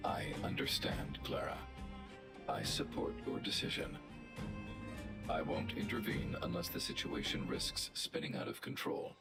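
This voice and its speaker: A man voicing a huge calm male guardian robot in a video game, speaking in a flat analytical monotone. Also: measured pace, natural voice. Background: game music.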